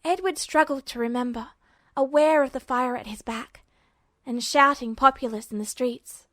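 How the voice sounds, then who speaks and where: High-voiced woman narrating a story. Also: moderate pace, a bit bright, no echo, studio quality. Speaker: fan fiction narrator.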